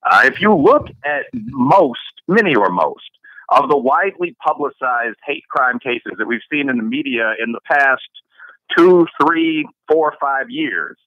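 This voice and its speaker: A man's voice: a little high, big pitch swings, a little slow, creaky, bright, forceful tone, dry recording. Man on a dry, muffled line. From Chicago area, USA. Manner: fluent, listing cases one after another. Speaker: professor, guest author. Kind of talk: interview answers.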